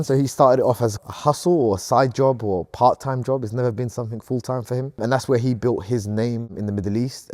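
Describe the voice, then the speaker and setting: A man's voice: medium pitch, mellow, no room sound, studio quality. A man in his thirties from Sudan, a company co-founder answering interview questions in an earnest, upbeat way.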